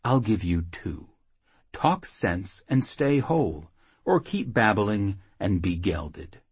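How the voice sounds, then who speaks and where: A man's voice: on the low side, very animated, slow, husky, full character voice. A man playing an angry fantasy fighter in an audiobook, demanding answers in growls and hisses. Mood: menacing. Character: angry, threatening male fantasy fighter with a knife.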